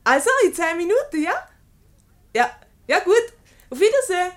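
Teasing, lively Swiss German wife. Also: female voice, extremely high pitch, moderate pace. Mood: bright, sociable. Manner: teasing, ironic. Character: amused, quick-witted wife, unruffled by her flirting husband. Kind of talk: Swiss radio comedy play acting.

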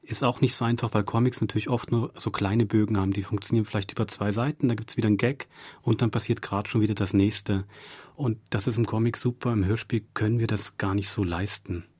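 A man with a husky, lowish voice explains his craft informally as an interviewed radio director. The recording is close and very dry. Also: steady tone, fast, a little mellow, no room sound. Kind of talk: studio interview.

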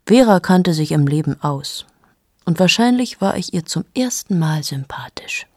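A husky, low-voiced confessing journalist. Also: female voice, animated, normal speed, darkish tone, no room sound, studio quality. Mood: brooding. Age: 30s. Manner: intimate, confessional storytelling, often dropping to a whisper. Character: restless female journalist, late thirties, confessing. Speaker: narrator of a radio play monologue. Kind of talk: radio drama monologue.

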